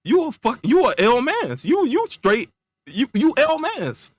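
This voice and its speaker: Man playing anxious young male friend in a told comic story: halting, slangy, repetitive, dry sound. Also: fairly low pitch, animated, a bit bright, dry recording, pitched below the performer's own voice. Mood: indignant. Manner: halting, slangy, repeats himself.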